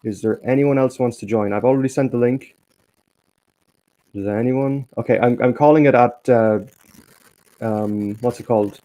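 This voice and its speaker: A man hosting a casual live stream, slightly hoarse and halting, with many restarts. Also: medium pitch, fairly even intonation, dark tone, poor audio. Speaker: stream host.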